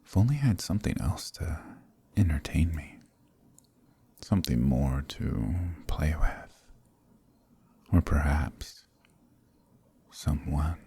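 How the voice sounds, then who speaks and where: A man playing a sadistic, possessive vampire lord in an ASMR roleplay, with a breathy, gravelly voice and a menacing, mockingly tender purr. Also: rumbling low, mellow, exaggerated voice. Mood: seductive, possessive. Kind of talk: ASMR roleplay audio drama.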